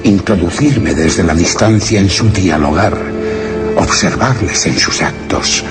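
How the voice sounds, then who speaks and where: A man's voice: deep voice, heavily acted. An actor playing a brooding exiled man who reads his written account in a solemn, literary Castilian Spanish radio theatre monologue. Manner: solemn, literary, measured delivery. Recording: voice under loud dramatic music.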